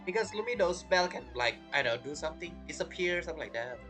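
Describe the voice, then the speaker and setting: A man giving casual gameplay commentary as a streamer, in a halting, rambling, self-interrupting way. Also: a little high, animated, pushed and bright, soft background music. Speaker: game streamer.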